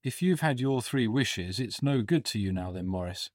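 Man putting on a husky, curious old man. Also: mid-range voice, animated, normal speed, no echo, studio quality, voice pushed up, close to an everyday voice. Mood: curious, probing. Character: curious, hesitant old father and husband. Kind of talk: dramatised storybook character reading.

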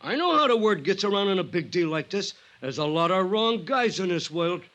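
A nervous, aging American ex-con in a vintage radio mystery. His high voice jumps around as he stammers in uneducated street slang, with a bright, tense edge. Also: lively intonation, brisk. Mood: anxious, earnest. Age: older. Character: nervous aging small-time ex-con entertainer, sweet on old flame. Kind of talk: 1950s American radio drama acting.

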